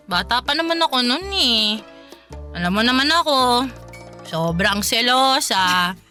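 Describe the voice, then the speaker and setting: A woman's voice: fairly high pitch, very animated, slow pace. A woman playing a tipsy, playful and jealous young woman in a radio drama, with an exclamatory, teasing delivery. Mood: playful confessing. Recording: dry studio, faint music behind.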